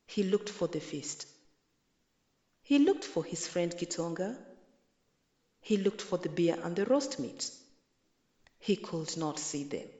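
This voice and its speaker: A woman narrates a children's story in a roomy, echoing space. Her delivery is unhurried and deliberate, with a very animated melody that swings up and down. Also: fairly low pitch. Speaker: storyteller reading a children's book. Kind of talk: expressive read-aloud children's story narration. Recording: echoey, roomy sound.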